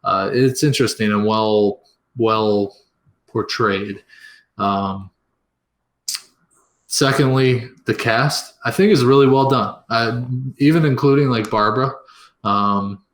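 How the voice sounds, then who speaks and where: A man's voice: on the low side, takes it slowly, long pauses. Rambling male podcast co-host. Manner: rambling, many fillers and restarts. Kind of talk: casual film review chat.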